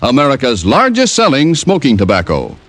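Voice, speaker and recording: Animated male old-time radio announcer. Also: medium pitch, fairly quick, soft background music.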